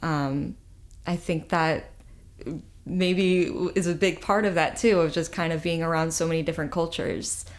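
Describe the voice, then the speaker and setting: A woman's voice: low-pitched, fairly even intonation, moderate pace. A young woman from California, a musician guest, talking in a casual, upbeat way with lots of filler words. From California, USA. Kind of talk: casual interview chat.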